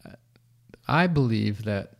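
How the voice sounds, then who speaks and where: A middle-aged man with a low, gravelly voice, co-hosting a podcast. He talks earnestly and haltingly, restarting sentences as he sums up his thoughts. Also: animated. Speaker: podcast co-host. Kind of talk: casual chat.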